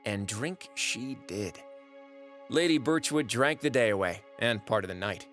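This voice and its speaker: An actor playing a wry, folksy, sardonic narrator who observes humans in an audio drama. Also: male voice, fairly low pitch, expressive, unhurried, a bit bright. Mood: sly, musing. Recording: music under the voice. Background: prominent music.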